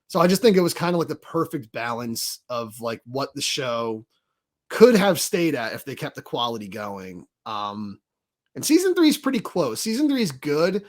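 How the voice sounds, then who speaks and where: A man's voice: mid-range voice, big pitch swings, moderate pace. A man co-hosting a podcast, talking in an informal, rambling, enthusiastic way as a fan. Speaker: podcast host. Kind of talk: casual fan discussion.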